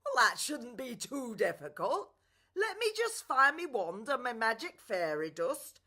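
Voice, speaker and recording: A woman's voice: a little high, very animated, measured pace, sharp tone, full character voice. A woman voicing a tipsy, muddled fairy godmother with a Northern English accent in a children's radio play. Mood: merry, muddled. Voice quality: slurred, hiccuping delivery. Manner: rambling, folksy, familiar.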